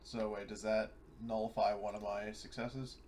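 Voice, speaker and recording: A man's voice: fairly low pitch, flat delivery, moderate pace, a little mellow, slight background noise. A man playing a tabletop game, chatting casually with the group about his moves and rules.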